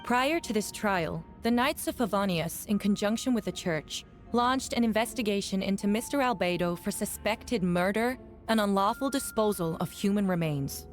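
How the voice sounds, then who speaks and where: A stern actress as a woman prosecutor in a fantasy game, speaking at a middle pitch. Her delivery is formal, measured and deliberate, as in a courtroom, with music playing under it. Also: lively intonation, close to an everyday voice. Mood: formal, firm. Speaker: voice actor in a video game.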